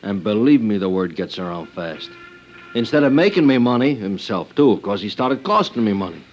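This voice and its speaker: An actor playing a pushy, defensive talent agent who talks in emphatic slang, in 1950s radio drama style. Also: male voice, on the low side, lively intonation, moderate pace, soft background music, lightly acted. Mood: blustering, self-justifying. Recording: dull old radio recording.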